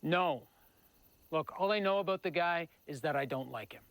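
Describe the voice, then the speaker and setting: An actor playing a gruff, suspicious landlord, curt and sardonic in a period TV drama. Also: male voice, a little high, moderate pace, fairly bright tone, no echo. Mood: irritated, curt.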